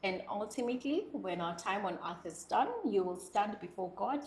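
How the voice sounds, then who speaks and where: A woman's voice: medium pitch, expressive, normal speed, darkish tone, echoey room. A young woman from South Sudan, a guest speaking earnestly and expressively in an interview, laughing often. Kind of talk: reflective interview conversation.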